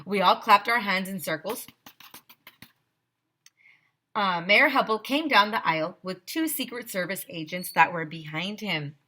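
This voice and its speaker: A woman reads a children's story aloud as a teacher would, stressing key words with animation. Her voice is bright and her pace is slow and deliberate, at a middling pitch. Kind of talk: expressive children's read-aloud narration.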